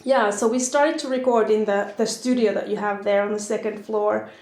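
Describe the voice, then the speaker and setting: A woman with a Finnish accent hosts a podcast from her house, talking in a measured, earnest way with a light conversational touch. Her medium-pitched voice carries a noticeable room echo. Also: fairly even intonation, darkish tone. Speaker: podcast host. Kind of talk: podcast intro and interview. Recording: roomy echo.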